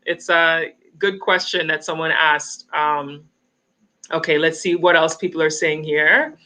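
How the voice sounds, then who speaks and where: A woman's voice: deep voice, fairly even intonation, a little slow, sharp tone, poor audio. A woman with a Canadian accent, hosting an interview and reading out viewer questions in a chatty, easy way. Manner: chatty, reads viewer questions, laughs easily. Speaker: host. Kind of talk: live-stream interview.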